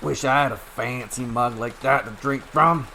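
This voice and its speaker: A man voicing a drunken, irate miner who talks loudly and belligerently, as if hollering across a room. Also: mid-range voice, normal speed, slight background noise. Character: drunken rowdy adult male miner, irate.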